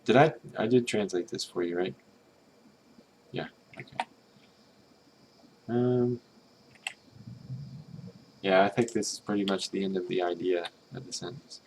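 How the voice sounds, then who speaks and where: Male streamer, lowish voice, tentative musing commentary, faint hiss and typing in the background. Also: fairly even intonation, unhurried, long pauses, a little mellow, rough recording. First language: English. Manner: musing, tentative, mutters Japanese readings to himself. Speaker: streamer translating a game. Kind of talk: casual thinking-aloud commentary.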